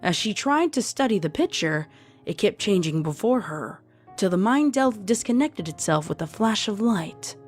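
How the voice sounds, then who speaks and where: A woman's voice: medium pitch, very animated, moderate pace, soft background music. A woman narrator reading a scripted story.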